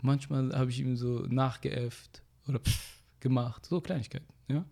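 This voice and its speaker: A young man in casual conversation, telling stories in an animated way with slangy fillers, getting louder when he is indignant. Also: medium pitch, moderate pace, vocal fry. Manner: animated storytelling, rising loud when indignant. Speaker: guest.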